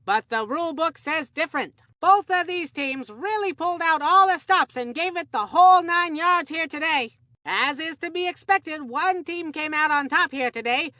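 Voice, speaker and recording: Chipper, goofy kid baseball announcer for a cartoon game; high voice, folksy mock-serious earnestness, close dry sound. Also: female voice, sharp tone, no room sound, exaggerated voice. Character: excitable, naive boy baseball commentator, chipper and goofy. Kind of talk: cartoon sports game commentary.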